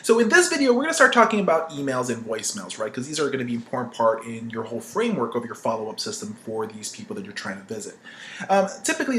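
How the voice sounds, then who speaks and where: A man's voice: medium pitch, sharp tone, a little hiss or hum. A man giving an informal instructional marketing-training talk, conversational and emphatic, often checking in with "right?" as he makes his points. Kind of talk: informal instructional talk with acted-out example calls.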